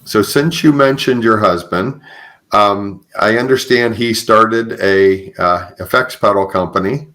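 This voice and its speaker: A man's voice: on the low side, unhurried, a bit bright. A man hosting a podcast in a conversational interview style, speaking affirmingly and repeating phrases for emphasis. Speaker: podcast host. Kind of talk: conversational interview with religious encouragement.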